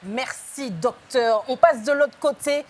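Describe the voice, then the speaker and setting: Brisk woman interviewer, higher voice. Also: a little mellow. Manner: brisk, with short acknowledgments. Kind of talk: interview questions.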